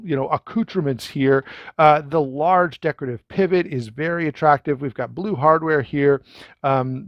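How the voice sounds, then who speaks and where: A man's voice: mid-range voice, no echo. A man giving a casual first-impression review of a knife, talking straight to viewers with lots of fillers and restarts. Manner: conversational, many fillers and restarts; addresses viewers directly.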